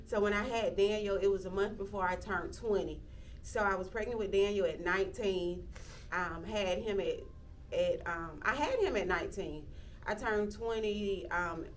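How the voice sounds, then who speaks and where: A woman, speaking reflectively in an interview, often pausing with "um" and restarting sentences. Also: medium pitch, recorded from a distance, slight background noise. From Athens, Georgia, US. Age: 30s. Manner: reflective, with frequent "um" fillers and restarts. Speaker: interviewee, local county commissioner. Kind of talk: casual interview talk.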